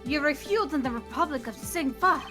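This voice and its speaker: A woman's voice: high voice, lively intonation, a little fast, pitched above the performer's own voice. A woman voicing a haughty, combative young prosecutor with a scornful delivery in a comedic game dub. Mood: haughty, challenging. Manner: pompous, scornful. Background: game music.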